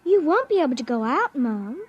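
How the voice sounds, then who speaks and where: A woman's voice: high-pitched, sing-song, brisk, no room sound, lo-fi sound. A woman playing a secretive, defiant little girl in a 1950s radio drama, childlike and matter-of-fact. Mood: excited, ominous.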